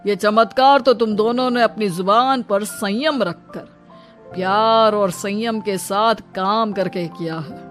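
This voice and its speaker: A woman voicing a wise, calm holy man giving gentle, measured counsel in a moral story. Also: mid-range voice, soft background music, lightly acted. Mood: calm advising.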